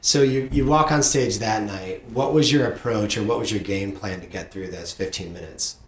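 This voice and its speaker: A man's voice: fairly low pitch, normal speed. A middle-aged man hosting a podcast, interviewing in a casual, conversational way. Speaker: podcast host and parent. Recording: roomy, echoey sound.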